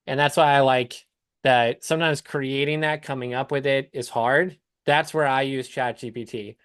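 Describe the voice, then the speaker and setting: A man's voice: medium pitch, fairly even intonation, moderate pace, fairly bright tone, smooth, dry recording. A man talking casually as a coach on a live call, explaining things step by step with examples. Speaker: business coach hosting a client call.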